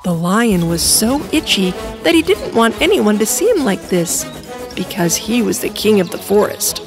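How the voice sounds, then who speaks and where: A woman narrating an animated children's storybook in an expressive storytelling manner. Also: mid-range voice, normal speed, over music.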